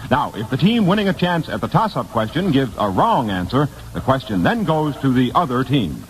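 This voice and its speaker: A man's voice: mid-range voice, big pitch swings, moderate pace, slight background noise, rough recording. An American man announcing a 1950s radio quiz show from a script, formal and emphatic, on an old broadcast recording.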